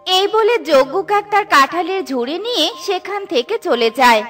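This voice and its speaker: A woman's voice: very high-pitched, lively intonation, normal speed, fairly bright tone, faint music behind. A woman reading scripted storybook narration for a children's cartoon.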